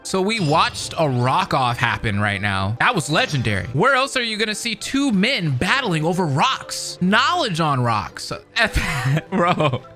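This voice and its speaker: A man's voice: fairly high pitch, big pitch swings, unhurried, hardly any pauses, sharp tone. A man giving casual, amused reaction commentary on a video game in informal interjections. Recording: game music behind the voice.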